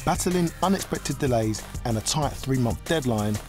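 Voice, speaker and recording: A man presenting, giving measured, explanatory voice-over narration for a home renovation programme. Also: medium pitch, lively intonation. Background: background music.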